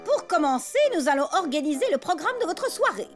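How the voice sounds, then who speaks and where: A woman's voice: sits high, big pitch swings, fairly quick, soft background music. A woman voicing a prim, bossy, condescending elephant babysitter in a French cartoon. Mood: bossy, fussy. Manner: condescending, fussy, scolding.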